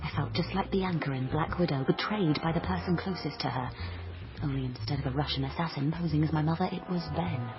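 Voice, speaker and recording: A woman's voice: sits low, fast talker, highs cut off, over music, close to an everyday voice. An actress in a radio drama playing an eager, flustered young woman who is an amateur sleuth on a date, with a hesitant manner. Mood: grave, betrayed. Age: young adult. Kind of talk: radio drama acting with first-person narration.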